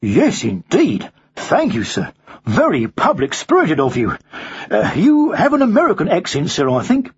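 A narrator voicing an officious male police officer who asks measured, formal questions in a storybook. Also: medium pitch, wildly swooping pitch, husky, highs cut off, pitched above the performer's own voice, heavily acted. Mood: polite. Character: officious male police switchboard officer, polite turning sarcastic.

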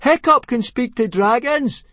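A man voicing an excitable boy who eagerly backs his friend, high and loud. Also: normal speed, breathy edge, dry recording, exaggerated voice.

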